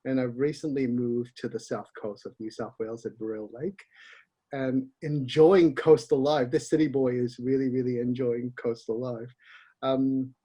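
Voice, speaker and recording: Husky middle-aged man, chatty and laughing. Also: medium pitch, steady tone, normal speed, warm tone. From Malaysia. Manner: laughs often, rambling and open. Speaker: founder being interviewed. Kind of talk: casual interview chat.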